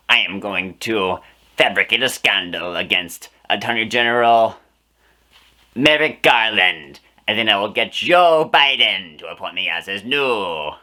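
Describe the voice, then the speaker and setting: Low super sharp cartoon bad guy, scheming, gloating, very theatrical. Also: full character voice.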